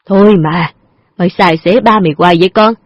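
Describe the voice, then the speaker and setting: A woman's voice: on the low side, fast talker, no echo, muffled recording, close to an everyday voice. A woman voicing an old father who gambles, sounding wheedling and defensive. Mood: pleading.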